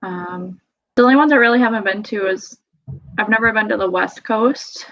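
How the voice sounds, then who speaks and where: A woman's voice: medium pitch, moderate pace, fairly bright tone. A young woman from Wisconsin with a Wisconsin accent, chatting as a podcast guest, giggly and full of fillers. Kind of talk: casual interview chat. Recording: dull lo-fi sound.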